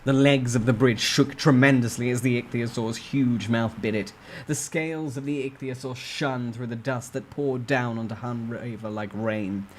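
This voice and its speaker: A man's voice: medium pitch, fairly even intonation, normal speed, a little hiss or hum. A man reading a fantasy novel aloud as a dramatic, suspenseful first-person narrator. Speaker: amateur story narrator.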